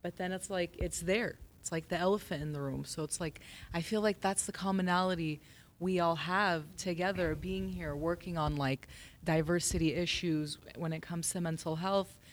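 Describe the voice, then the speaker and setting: Slightly low Californian woman, faint rumble behind. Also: fairly even intonation, moderate pace. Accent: Californian American. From Los Angeles, United States. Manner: peppers speech with "like". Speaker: podcast guest. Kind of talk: casual chat.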